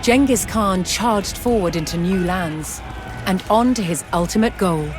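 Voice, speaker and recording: A woman giving a measured, formal, scripted historical narration in a documentary style. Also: medium pitch. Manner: measured, formal storytelling delivery. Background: music.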